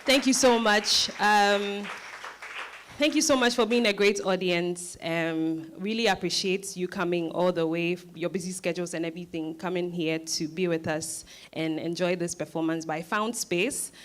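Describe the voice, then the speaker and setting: A woman's voice: on the low side, fairly even intonation, normal speed. A woman with a Ghanaian accent, a stage director speaking informally into a handheld microphone to thank an audience. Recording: live event with crowd and faint noise.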